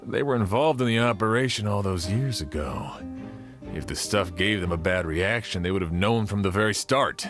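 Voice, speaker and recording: A man's voice: fairly low pitch, lively intonation, moderate pace, music in the background, close to an everyday voice. An actor playing a skeptical, blunt male investigator in a video game, speaking in a questioning way.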